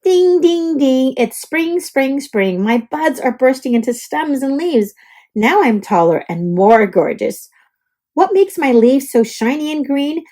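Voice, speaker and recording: A woman's voice: high voice, sing-song, unhurried, dark tone. A woman voicing a perky, dramatic young oak tree telling its storybook diary, with theatrical exclamations. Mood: proud, giddy. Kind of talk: storybook diary read-aloud.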